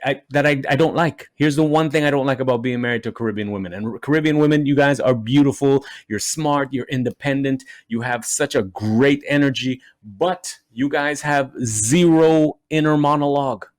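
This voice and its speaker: A man's voice: medium pitch, normal speed, hardly any pauses, dry recording. Man, forties, stand-up comic, punchy and self-mocking, dry close sound. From Trinidad and Tobago. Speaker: comedian. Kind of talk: stand-up comedy set for a virtual show. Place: indoors at home.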